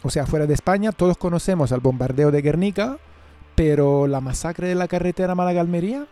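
A man's voice: fairly high pitch, lively intonation, a little fast, mellow. A man from outside Spain presenting and interviewing on the radio.

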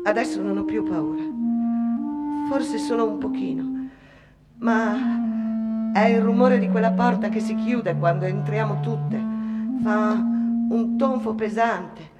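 An actress playing a shy, earnest young immigrant seamstress, hesitant and confiding in a radio drama monologue. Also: female voice, mid-range voice, slow, pauses often, distant mic, lo-fi sound, lightly acted. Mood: anxious, hopeful. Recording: music behind the voice.

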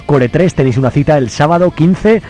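A man's voice: fairly high pitch, a little fast, warm tone. Spanish man hosting radio over faint music. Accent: Spanish, from Spain. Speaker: radio host. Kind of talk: casual interview and announcements.

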